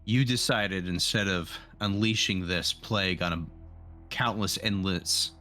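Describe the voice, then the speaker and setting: A man's voice: low voice, unhurried, bright, forceful tone, faint music behind. A man playing a suspicious female marine sergeant in a tabletop game, asking pointed questions.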